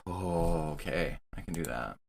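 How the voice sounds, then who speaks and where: A man's voice: very deep voice, a little slow, creaky. A man playing a tabletop roleplaying game, casually and playfully describing what his character looks like and does.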